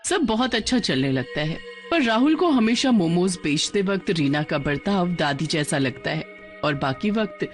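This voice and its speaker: A woman's voice: on the low side, sing-song, moderate pace. A woman narrating a scripted children's story as storyteller. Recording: music behind the voice.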